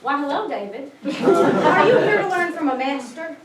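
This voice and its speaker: A woman's voice: high voice, lively intonation, moderate pace, a loud crowd. A woman playing a boastful, chummy suburban dad, a self-styled grill master, in a comic play reading. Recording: noisy, echoey, distant mic.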